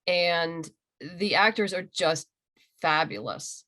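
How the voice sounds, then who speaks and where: A woman with a fairly low voice talks as a guest in a casual interview over a video call. She sounds enthusiastic about her creative work and speaks in a conversational, unscripted way. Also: takes it slowly, bright, forceful tone. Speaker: interviewed comic book writer and audio drama creator.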